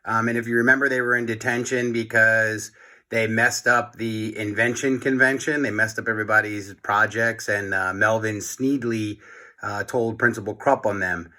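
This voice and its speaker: Guy reading a kids book out loud, bright forceful voice, really expressive, talks right to the kids. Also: lowish voice, normal speed. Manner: expressive, addressing young listeners directly. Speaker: children's book reader, teacher. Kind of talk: animated read-aloud storytelling for children.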